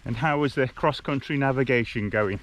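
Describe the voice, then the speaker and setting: A man's voice: medium pitch, fairly quick, fairly bright tone, a little hiss or hum. A man giving a casual, practical outdoor field-test commentary, speaking plainly as a hiking vlogger. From United Kingdom. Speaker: hiking vlogger and gear tester. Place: outdoors on open hills.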